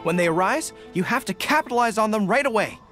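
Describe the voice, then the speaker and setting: Earnest young general, lively pitch. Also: male voice, over music. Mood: earnest, encouraging. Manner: earnest, deliberate, formal phrasing. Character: earnest young male dog-eared army general. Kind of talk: video game character dialogue.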